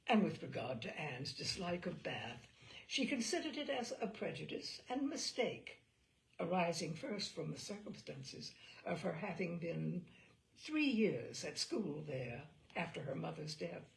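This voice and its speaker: A woman reading a classic novel aloud as a storyteller, expressive and measured, with emphatic phrases and hushed endings. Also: fairly low pitch, vocal fry, low-quality recording. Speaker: audiobook reader and storyteller.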